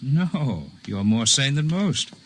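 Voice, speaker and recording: Formal, enigmatic healer with swooping delivery. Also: male voice, medium pitch, very animated. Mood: serene, teasing. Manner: formal, measured, courteous. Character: serene, enigmatic Indian male healer with uncanny powers. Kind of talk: 1950s radio drama acting. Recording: noisy 1950s radio broadcast recording.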